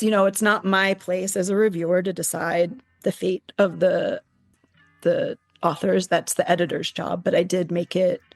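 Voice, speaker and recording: A middle-aged woman speaking as an interview guest about academic publishing, animated and emphatic with occasional restarts. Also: on the low side. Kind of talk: casual interview talk.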